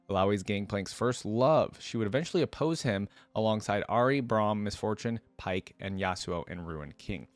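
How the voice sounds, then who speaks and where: A man with a fairly low voice gives casual commentary as a video host. He reads aloud and reacts informally, in a dry sound free of room echo. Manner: informal, chatty.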